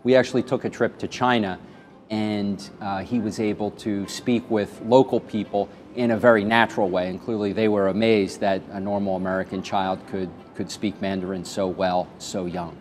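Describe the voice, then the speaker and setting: Man, parent, very deep voice, earnest, faint music. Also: fairly even intonation, normal speed, darkish tone. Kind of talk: interview.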